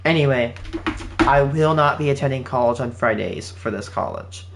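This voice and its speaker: Young man, eighteen, casual update, rambling, restarts a lot, middle pitch. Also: normal speed, gravelly, background noise. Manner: rambling, with frequent restarts and fillers. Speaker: channel host. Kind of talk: casual spoken update to viewers. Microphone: home setup with game console running nearby.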